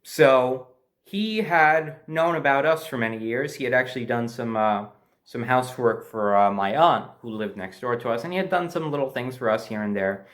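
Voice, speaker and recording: A man's voice: medium pitch, lively intonation, moderate pace, fairly bright tone. Man telling a casual, rambling personal story. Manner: hesitant, self-correcting, backtracking as he recalls.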